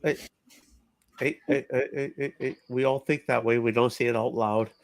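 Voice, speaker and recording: A man's voice: mid-range voice, fairly even intonation, moderate pace, no echo. A man hosting a live stream, trading teasing, profane, affectionate banter with friends. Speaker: streamer host. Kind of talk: casual chat.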